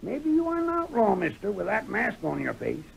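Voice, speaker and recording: A very high-pitched, creaky old prospector, feisty and folksy, speaking American frontier dialect. His pitch jumps around in lively swings, as in an old radio western. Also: normal speed, heavily acted. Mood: wary, reasoning. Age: older. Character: stubborn, folksy old male prospector of the Old West. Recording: noisy old radio recording.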